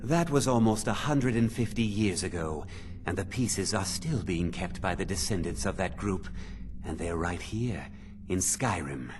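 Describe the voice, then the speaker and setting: A man's voice: low voice, lively intonation, unhurried, background noise. An actor playing an eager, ominous museum keeper in a video game, telling his lore in a dramatic, theatrical way. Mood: businesslike, intriguing. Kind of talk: scripted video game dialogue.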